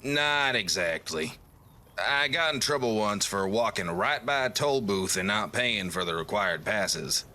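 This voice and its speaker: A man's voice: on the low side, lively intonation, measured pace, pushed and bright. A man voicing an amiable, down-on-his-luck traveler in a video game, chatty and wryly explaining things. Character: amiable down-on-his-luck male traveler offering paid courier work. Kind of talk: video game dialogue acting.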